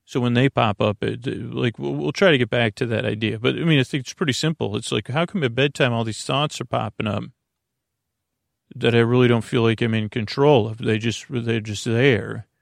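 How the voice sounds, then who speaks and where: A man hosting a sleep podcast, chatting in a meandering, halting, digressive monologue with a gravelly, creaky voice that often trails off mid-sentence. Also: medium pitch, no echo, clean studio sound. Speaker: podcast host.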